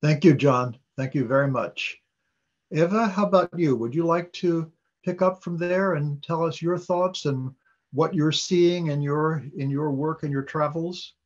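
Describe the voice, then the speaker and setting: Male moderator, polite; higher voice, dull distant lo-fi recording. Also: a little slow. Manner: polite, handing over questions. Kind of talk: panel moderation.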